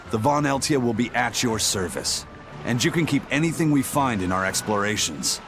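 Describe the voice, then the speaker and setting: An actor playing a stern pirate first mate in a game, measured and matter-of-fact. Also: male voice, medium pitch, background noise, natural voice. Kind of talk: dramatic game voice acting. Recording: music behind the voice.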